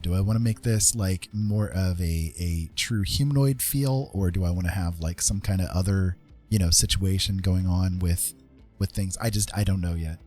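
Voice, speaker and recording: Low-voiced man musing aloud, music behind. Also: a little mellow. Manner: thinking aloud, rambling, halting. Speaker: livestream host, digital artist. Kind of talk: casual chat while drawing on a livestream. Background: background music.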